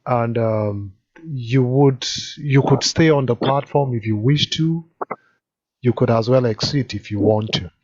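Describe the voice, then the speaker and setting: A man moderating an online election meeting, speaking politely with halting restarts. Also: medium pitch, a little mellow. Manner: slow, halting, polite, apologetic. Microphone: video call. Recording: muffled online call audio.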